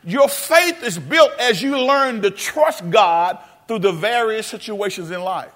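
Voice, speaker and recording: A man's voice: sits high, expressive, unhurried, fairly bright tone. A man preaching a sermon in a church. He calls out questions to the congregation and talks to them in a folksy way. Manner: call-and-response preaching, asks the congregation questions; folksy, addresses listeners as "y'all" and "baby". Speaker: pastor.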